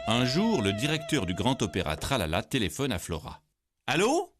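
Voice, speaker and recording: A man narrating a scripted children's story in an expressive, theatrical way. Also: lowish voice, a little fast, background noise. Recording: music playing behind the voice.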